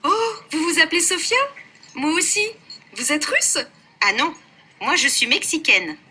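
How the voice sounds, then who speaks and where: A woman's voice: sits high, very animated, unhurried, bright, forceful tone, exaggerated voice. A woman voicing an adult woman in a scripted textbook dialogue, chatty and friendly.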